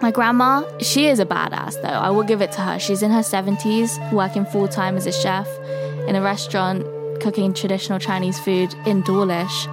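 A woman's voice: mid-range voice, fairly even intonation, moderate pace. A woman from England speaking earnestly and admiringly about her elders. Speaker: interviewee. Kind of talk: personal storytelling. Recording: interview over loud music.

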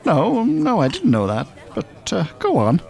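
A man's voice: sits high, wildly swooping pitch, unhurried, vocal fry, a little mellow, exaggerated voice. An actor playing a sardonic, well-spoken older Dublin man, wordy and mock-formal in a radio drama. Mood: dry, teasing.

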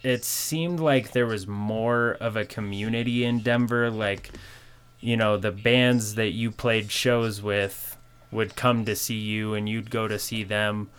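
Twenties guy hosting a chill chat, smooth clear voice, says "you know" a lot. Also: fairly low pitch, steady tone, slow pace, a bit bright. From Colorado, USA. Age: 20s. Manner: laid-back, conversational, peppered with "you know". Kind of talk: casual conversation.

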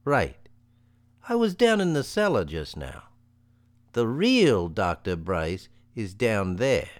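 An actor playing a shaken but wry man in an old-time radio thriller, speaking in a halting, emphatic, dramatic way. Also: fairly low pitch, big pitch swings, dry recording. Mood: grave explaining. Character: John Taylor, shaken but wry man explaining a killing. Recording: vintage radio recording, dry, music behind.

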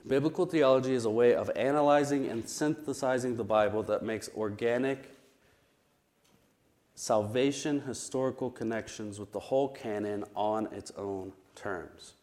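A man teaching a Bible study class, his voice still recovering from an illness, explaining terms carefully with frequent pauses and restarts. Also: mid-range voice, a little mellow.

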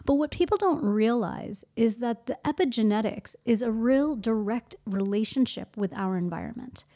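Reflective woman guest, mellow voice. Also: fairly high pitch, animated, moderate pace. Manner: reflective, poses and answers her own questions. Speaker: podcast guest. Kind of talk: casual podcast conversation.

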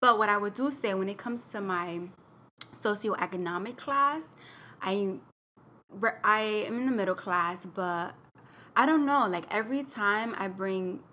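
A woman's voice: mid-range voice, unhurried, bright, forceful tone, a little hiss or hum. A young woman co-hosting a podcast, sharing her own story in a candid, reflective way, with lots of fillers and "like". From Connecticut, US. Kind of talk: personal storytelling in a casual chat.

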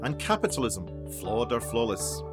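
A self-important male documentary presenter, played by an actor in a radio play. He narrates in a showy, pompous way and is easily baffled. Music plays clearly behind his mid-pitched voice. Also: sing-song, normal speed, close to an everyday voice.

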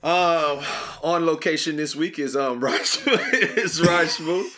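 A man's voice: fairly high pitch, takes it slowly, pushed and bright, soft background music. Laid-back young podcast host man. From Arizona. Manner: loose, laughing, rambling with fillers. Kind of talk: casual banter.

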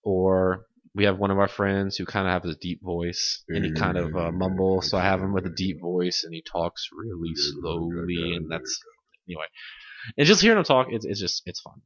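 A man with a fairly low voice talks casually and loosely, rambling as a gaming podcast host. The sound is close and dry, slightly dull and lo-fi. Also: moderate pace, fairly bright tone, no room sound. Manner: rambling, laughs often. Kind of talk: casual chat about video games.

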